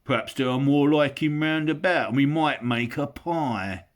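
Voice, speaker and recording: Bert, a man doing a loud bullying troll. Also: medium pitch, moderate pace, voice pushed up, close to an everyday voice. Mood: menacing. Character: mean quarrelsome male troll, suspicious and cruel. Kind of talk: audiobook character voice.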